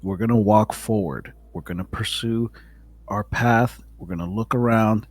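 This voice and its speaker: A man's voice: lowish voice, normal speed. A man playing a tabletop roleplaying game in character, speaking in a commanding, instructional manner.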